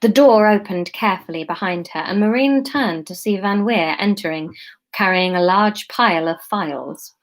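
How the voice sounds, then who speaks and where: A woman reads her own adventure story aloud in a relaxed, conversational way, stopping now and then to chat and fix her slips. Her voice sits at an everyday middle pitch for a woman. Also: normal speed. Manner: chatty, breaks off to comment and correct herself. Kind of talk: reading a story aloud with casual asides.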